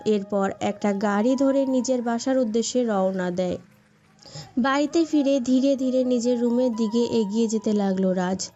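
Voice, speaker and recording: A woman's voice: fairly high pitch, moderate pace, dark tone. A woman narrating a scripted romantic story as its storyteller. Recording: dull lo-fi sound, background music.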